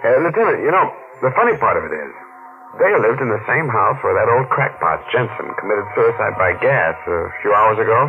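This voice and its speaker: A man's voice: on the low side, very animated, fairly quick. An actor playing a shady tough man giving his account in a hesitant, offhand, slangy way, in 1940s radio drama style. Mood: offhand, dry. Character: shady tough man reporting a double death by phone. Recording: muffled 1940s radio broadcast with music.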